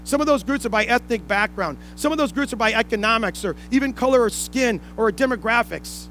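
A man's voice: very high voice, expressive, fairly quick, a bit bright, faint music behind, poor audio. A man preaching a sermon to a congregation, explaining point by point with rhetorical questions and repeating key phrases for emphasis. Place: indoor room, speaking to a congregation.

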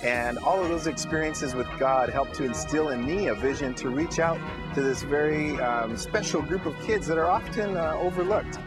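A man who grew up as a missionary kid, calmly speaking about his ministry in a mission video. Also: fairly high pitch, normal speed, hardly any pauses, poor audio. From grew up in Sri Lanka, India and Egypt. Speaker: missionary school counselor. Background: music.

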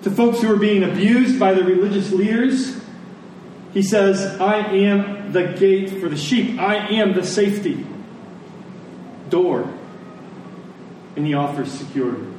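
A man preaching a sermon to a congregation, phrasing deliberately and emphatically and repeating lines for effect. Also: sits high, darkish tone. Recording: echoey room, distant mic, faint noise.

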